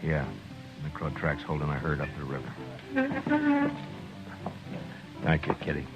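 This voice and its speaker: A man acting a grim, stubborn frontier lawman in a 1950s western radio drama, speaking in terse, deliberate, understated lines. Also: rumbling low, wildly swooping pitch, heavily acted. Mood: grim, determined. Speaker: lead actor and narrator. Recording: dull old radio recording with music.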